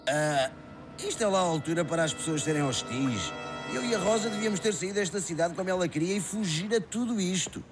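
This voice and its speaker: A man's voice: high voice, lively intonation, fairly quick, over music. A man with a European Portuguese accent playing a grouchy, world-weary street-corner local in a comic dub, muttering complaints. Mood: peeved.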